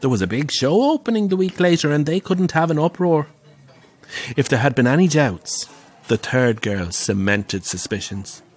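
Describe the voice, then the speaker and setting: A theatrical Irish pantomime dame. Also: male voice, a little high, expressive, normal speed. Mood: ominous, relishing. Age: middle-aged. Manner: theatrical, wry, relishing the tale. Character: camp, plump, middle-aged pantomime dame spinning grisly theatre ghost stories. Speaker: actor in an audio drama. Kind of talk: audio drama storytelling.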